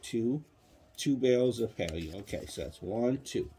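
A man's voice: mid-range voice, animated, a little slow, dark tone, a little hiss or hum. A man reading a children's counting book aloud to a child, patiently prompting with questions. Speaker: parent reading a bedtime story to a child. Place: small child's bedroom, indoors.

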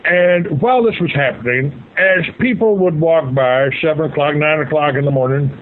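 A man hosting a talk radio show, telling a story in a loud, carrying voice like a salesman. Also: a little high, moderate pace. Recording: lo-fi radio broadcast sound.

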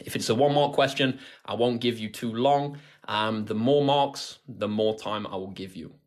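A man's voice: lowish voice, normal speed. A man in his twenties with a British accent, hosting a tutoring livestream in an informal, chatty way and speaking directly to viewers. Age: 20s. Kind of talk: casual livestream chat, answering viewer questions. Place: indoors, close to a phone on a tripod.

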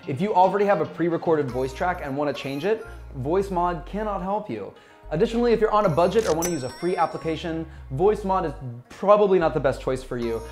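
A man in his thirties giving a scripted software tutorial in an upbeat presenter's manner, speaking into a lapel mic in a small office. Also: high voice, animated, fast talker. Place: small bright office, indoors. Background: background music.

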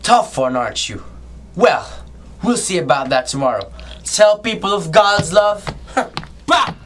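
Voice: mid-range voice, sing-song, measured pace, fairly bright tone. A young performer playing a menacing, mocking prison captain in an amateur radio drama, gloating and taunting. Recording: music under the voice.

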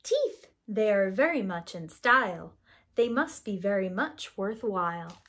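A woman in her thirties reading a children's picture book aloud like a preschool teacher, with expressive, sing-song rhyming delivery and deliberate pauses. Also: medium pitch.

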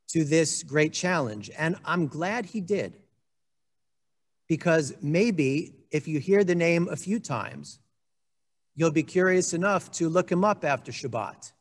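A man in his forties, a congregation rabbi, giving a measured, earnest sermon to camera at a desk microphone, with a few dry asides. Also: fairly high pitch, in a large hall. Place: indoor room with a wood-panelled wall. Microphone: desk microphone on a stand.

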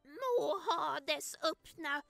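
A woman voicing a furious old crone spitting curses, shrill and croaky, in an amateur cartoon dub. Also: lively intonation, a bit bright, faint music behind, full character voice. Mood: enraged cursing.

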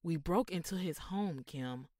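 A woman's voice: on the low side, lively intonation, measured pace, warm tone, no echo, clean studio sound. An actress playing a practical, sardonic woman in a crew of amateur robbers, in an audio drama. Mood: tense.

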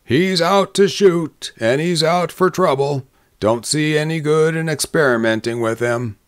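A man's voice: a little high, measured pace, clear, resonant tone, dry recording, clean studio sound, voice pushed up, natural voice. A man voicing a laconic, folksy frontier saloon regular in a storybook Western. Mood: scornful, matter-of-fact. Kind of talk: storybook character voice in a Western tale.

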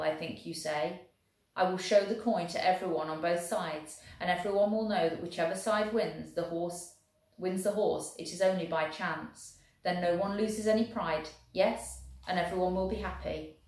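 A woman reading aloud, voicing a tall soldier, formal and gentle. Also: fairly low pitch, moderate pace, heavy reverb, lightly acted, poor audio. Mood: earnest. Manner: formal, careful.

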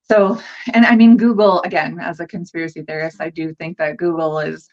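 A woman's voice: on the low side, steady tone, fairly quick, darkish tone. A woman speaking candidly as a guest on a casual podcast, joining over a video call. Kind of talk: casual conversation. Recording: dull lo-fi video call audio.

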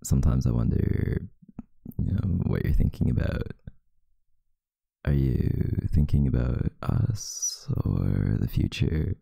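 A young man doing an intimate ASMR boyfriend roleplay as a sleepy, affectionate, teasing partner in bed, murmuring softly and drowsily close to the microphone. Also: rumbling low, animated, takes it slowly, no room sound. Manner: soft, drowsy murmuring, partly breathy and whispered. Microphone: close microphone, dry sound.

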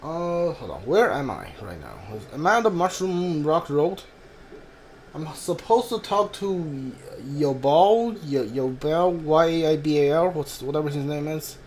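A man giving casual live playthrough commentary as a gaming streamer, haltingly repeating words as he thinks. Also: fairly high pitch, big pitch swings, a little mellow, slight background noise. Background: faint game music.

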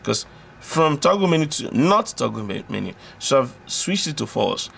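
A man presenting an electronics tutorial, explaining step by step in an informal, halting way with repetitions and self-corrections. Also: mid-range voice, sing-song, vocal fry, a bit bright.

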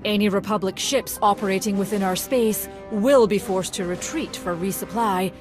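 An actress playing a poised, dutiful army colonel in a video game, dryly polite and formal. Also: female voice, medium pitch, normal speed, over music, lightly acted. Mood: dutiful formality. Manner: crisp, formal, dryly polite military diction.

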